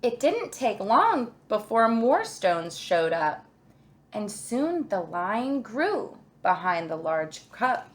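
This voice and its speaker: A woman reading a children's picture book aloud in an expressive, deliberate storytelling way, like a kindergarten teacher. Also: mid-range voice.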